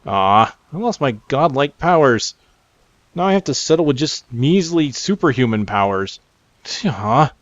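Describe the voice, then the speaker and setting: A man's voice: mid-range voice, very animated, measured pace, no echo, muffled recording. Man rambling casually over gameplay. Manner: rambling, thinking aloud. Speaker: gamer presenting a game preview. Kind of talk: casual unscripted gameplay commentary. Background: game music.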